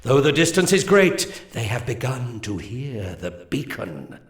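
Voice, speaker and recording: A man voicing a solemn elven seer who briefs his warhost in a strategy game's cutscenes, speaking slowly and portentously. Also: medium pitch, big pitch swings. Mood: grave. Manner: slow, grave and portentous. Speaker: game mission narrator. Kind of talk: 1990s strategy game cutscene narration. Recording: roomy game audio under loud music.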